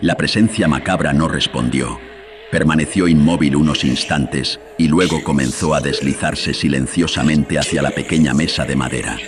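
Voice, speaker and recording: A man's voice: very deep voice, moderate pace, noisy background. A man narrating a scripted horror story in a solemn, ominous manner, his voice processed with filters. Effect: voice processed with audio filters.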